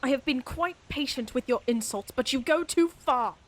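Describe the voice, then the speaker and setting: A woman's voice: high-pitched, normal speed. A woman playing a proud, indignant lady knight in a fantasy audio roleplay, haughty and formal with a cutting tongue. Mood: outraged.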